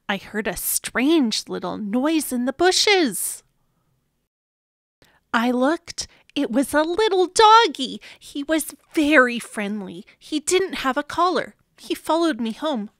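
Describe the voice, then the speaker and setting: A woman's voice: high-pitched, a bit bright, no echo, studio quality. A woman hosting a read-aloud, narrating expressively and dramatically for small children. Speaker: storybook reader, channel host.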